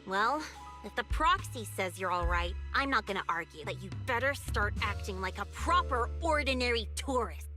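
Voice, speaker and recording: A woman's voice: mid-range voice, expressive, normal speed, bright, forceful tone, faint music behind. An actress voicing a feisty, haughty, hot-tempered young woman in an anime video game, sassy, blunt and grudging.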